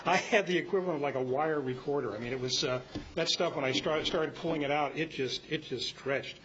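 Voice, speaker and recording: An older man gives a halting, anecdotal talk to a club meeting. His voice is a little high for a man. The live recording is dull, with faint noise behind him. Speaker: club speaker presenting on audio tape. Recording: muffled, slightly noisy meeting-room recording.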